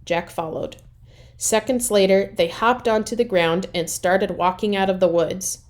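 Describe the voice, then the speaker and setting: A woman reading a children's chapter book aloud as an expressive storyteller, with dramatic pauses and emphasis. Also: mid-range voice.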